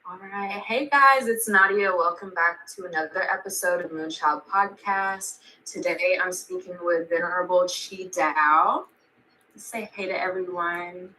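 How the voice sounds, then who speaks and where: Bright, chatty young woman podcast host. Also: fairly low pitch, unhurried, a little hiss or hum, rough recording. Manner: chatty, full of fillers like "like" and "um". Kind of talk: casual interview.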